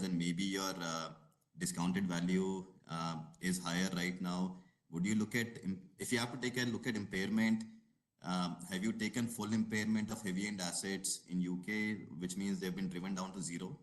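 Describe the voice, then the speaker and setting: A man's voice: deep voice, fairly even intonation, moderate pace, rough recording. A man calling in as an analyst, putting detailed questions in tentative, hedged phrasing. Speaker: equity analyst caller. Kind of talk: asking questions on an earnings call.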